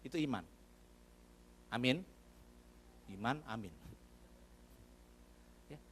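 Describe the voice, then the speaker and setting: A man's voice: medium pitch, lively intonation, slow, fairly bright tone, a little hiss or hum. A man preaching a sermon in a church. He talks to the congregation in a conversational way, addresses them often and asks them questions. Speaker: pastor.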